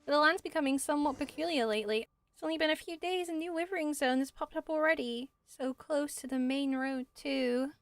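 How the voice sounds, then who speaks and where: An earnest young forest ranger woman from a fantasy game , voiced by a woman. She has a high voice and a dutiful, explanatory manner. The recording is close and dry, with soft music behind it. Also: a little fast, few pauses, no room sound, natural voice. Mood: concerned, explaining.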